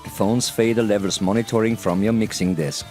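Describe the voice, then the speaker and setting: A middle-aged man gives a formal, deliberate scripted presentation into a headset mic in a small studio. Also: on the low side, steady tone, a little mellow, soft background music. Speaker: presenter, audio educator. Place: small home studio, indoors.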